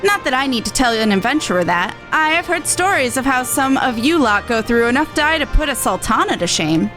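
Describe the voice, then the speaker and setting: A woman's voice: high voice, big pitch swings, fairly quick, music in the background. A woman voicing an earnest, dutiful young tribeswoman and resistance veteran in video game dialogue. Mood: grateful, upbeat.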